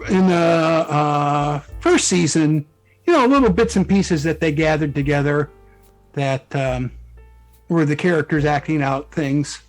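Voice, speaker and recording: A man's voice: on the high side, low-quality recording. A man speaking as a guest author in a casual interview, explaining things conversationally. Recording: background music. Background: music under the talk.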